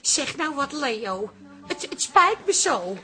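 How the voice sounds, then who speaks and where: High, plaintive pub landlady. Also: big pitch swings, slow, pushed and bright, soft background music, heavily acted. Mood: pleading. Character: contrite, fretful female pub landlady. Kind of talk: Dutch radio comedy-drama acting.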